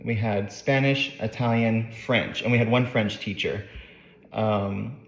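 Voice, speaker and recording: A man's voice: on the low side, steady tone, measured pace, gravelly, roomy sound, a little hiss or hum, low-quality recording. A man in his late twenties from Northern California with a light Southern accent, chatting casually as a channel host, rambling with fillers and self-corrections. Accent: light Southern US with dropped R's. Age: late 20s. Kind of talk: casual talking-to-camera vlog.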